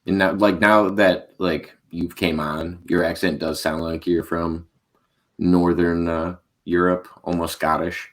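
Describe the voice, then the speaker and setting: American guy with a really deep voice, rambling chatty podcast host, laughs a lot. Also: flat delivery, unhurried. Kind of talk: casual chat.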